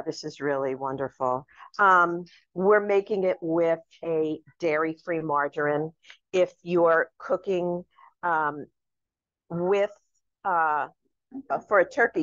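Echoey, lower-voiced woman demonstrating a recipe. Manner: chatty, instructive. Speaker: cooking show host. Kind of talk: casual cooking demonstration. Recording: echoey room, dull lo-fi sound.

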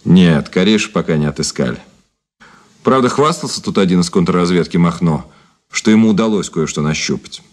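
This is a deep-voiced man in a Soviet radio play, a cynical ex-detective. He speaks in measured, theatrical phrases with grand irony and mockery. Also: animated. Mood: mocking, ironic. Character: cynical, ironic former detective officer and turncoat, grandly mocking. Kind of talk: Soviet radio drama acting.

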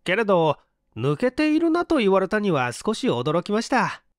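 A man's voice: sits high, wildly swooping pitch, moderate pace, no room sound, clean studio sound, pitched above the performer's own voice. A man voicing an earnest, deferential young male student in an audiobook mystery. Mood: flustered.

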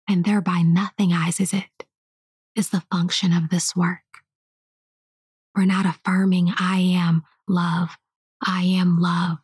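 A woman whispering a slow guided meditation and spiritual teaching in a soft, hushed voice. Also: medium pitch, breathy edge, no echo, clean studio sound.

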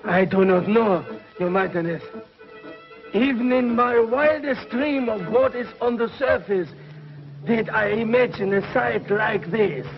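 A servile creature of the underworld, with a high, deferential voice. It fawns on its master in old sci-fi serial style, on a muffled soundtrack with music underneath. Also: male voice, unhurried. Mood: awed, servile. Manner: deferential, fawning. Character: servile subworld creature attending a tyrant. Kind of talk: 1950s television serial acting.

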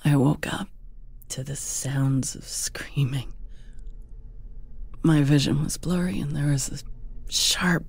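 Frightened captive village girl, performed by a female narrator, low and breathy, slow hushed horror storytelling. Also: steady tone, no room sound, heavily acted. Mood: dazed, hushed. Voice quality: breathy, often sinking to a whisper. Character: frightened young village wife held captive, narrating her ordeal. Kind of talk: dramatic audiobook horror narration.

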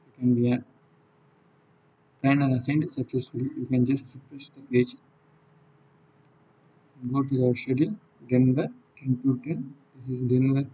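A man with a South Indian accent and a husky voice, giving an unscripted software walkthrough in halting, non-fluent phrases. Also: mid-range voice, poor audio. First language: Tamil. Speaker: software demonstrator. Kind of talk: unscripted screen-recorded tutorial walkthrough.

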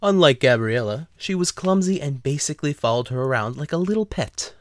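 A man's voice: a little high, animated, a little fast, no room sound, studio quality. A man narrating a scripted story for an audio drama.

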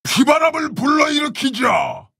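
A man playing a proud, solemn orc warchief in a video game, gravelly and husky, speaking in a commanding, stately, formal way. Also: very high-pitched, sing-song, unhurried, fairly bright tone, dry recording, raised voice, studio quality, exaggerated voice. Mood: battle fury. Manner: commanding, stately, old-fashioned formal speech. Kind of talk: video game hero voice lines.

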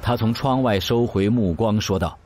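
A man's voice: fairly low pitch, normal speed, a little mellow, dry recording. A man narrating a scripted audio drama in a dramatic storytelling style.

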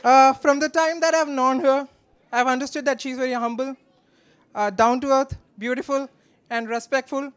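High, clear-voiced young man. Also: fairly even intonation, some chatter behind. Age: 20s. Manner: joking, affectionate, halting delivery. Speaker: best man, groom's brother. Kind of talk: humorous wedding toast read from notes. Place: wedding reception in a large draped marquee. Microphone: handheld microphone.

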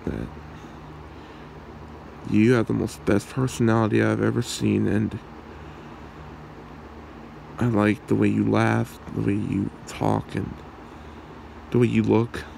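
Gravelly, hesitant lovestruck young man, acted by a man. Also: fairly low pitch, level tone, a little mellow, a little hiss or hum, full character voice. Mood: earnest, tender. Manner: hesitant, halting, awkward. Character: shy young man, secretly a werewolf, lovestruck best friend. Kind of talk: ASMR roleplay acting.

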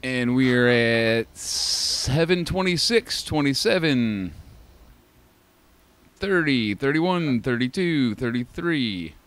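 Animated, profane podcast co-host, a man. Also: mid-range voice, slow pace, no room sound. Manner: animated, profane, laughs often. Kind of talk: casual wrestling watch-along chat. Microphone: online call.

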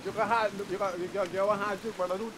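A man's voice: high voice, level tone, fast, noisy background. A man hunting iguanas outdoors, talking in loose street slang and calling out directions to his crew. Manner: loose street slang, calls others brother and bro, barks directions. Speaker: iguana hunter leading a crew. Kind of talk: casual outdoor talk. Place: outdoors near trees.

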